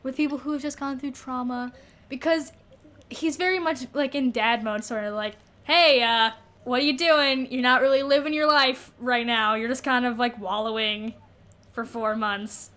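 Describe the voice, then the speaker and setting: A woman's voice: sits high, normal speed, pushed and bright. A woman co-hosting a podcast, chatting informally about a book and reacting with short interjections. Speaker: podcast co-host. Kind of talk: casual book discussion chat.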